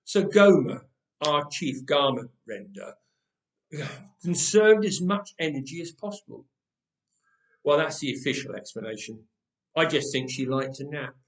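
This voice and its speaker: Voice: mid-range voice, lively intonation, measured pace, pauses often. A reader narrating a comic first-person story with dry humour, recorded in an echoey room.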